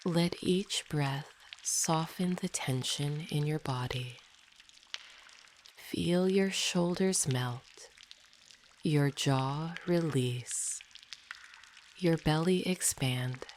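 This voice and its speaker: A woman guides a meditation in a soothing, hushed, often near-whispered delivery. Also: low-pitched, very slow, pauses often, a little hiss or hum. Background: dripping, pouring water sounds.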